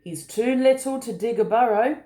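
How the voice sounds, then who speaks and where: A woman's voice: a little high, moderate pace, dark tone, roomy sound, pitched above the performer's own voice, close to an everyday voice. A woman voicing a kindly, protective wombat who fusses and reassures, in a children's storybook. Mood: doubting. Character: kindly, protective wombat, fussing over little ones. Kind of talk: children's storybook read aloud.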